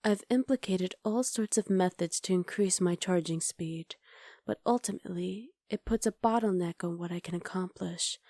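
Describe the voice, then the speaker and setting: A woman performs a powerful, haughty witch in a low, dark and mellow voice. She speaks softly and formally, with measured menace and an imperious air. The sound is dry and intimate, as in an ASMR roleplay. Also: dry recording. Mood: calculating, proud.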